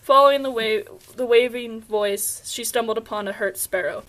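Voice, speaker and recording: A woman's voice: fairly high pitch, moderate pace, a bit bright, low-quality recording. A young woman narrating a scripted fairy tale as storyteller, stumbling over words now and then as she reads.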